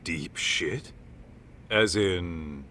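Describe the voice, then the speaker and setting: An actor playing a gruff middle-aged ex-gangster turned detective in a video game dub, talking bluntly and wearily in informal, clipped phrases. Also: male voice, low-pitched, extremely slow, sharp tone, full character voice. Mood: probing, skeptical.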